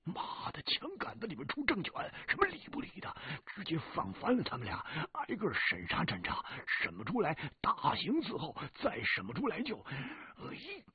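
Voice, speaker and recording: A man voicing a brash, violent fat male tomb raider who talks loud and boastful. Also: deep voice, wildly swooping pitch, slow pace, creaky, pauses often, voice pushed up, exaggerated voice. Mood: brutal. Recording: tinny lo-fi recording.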